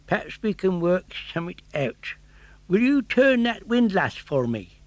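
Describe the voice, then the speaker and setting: A man's voice: high-pitched, sing-song, measured pace, no echo, voice pushed up. A man voicing a blunt, bargaining country gardener with a matter-of-fact manner, in an audiobook.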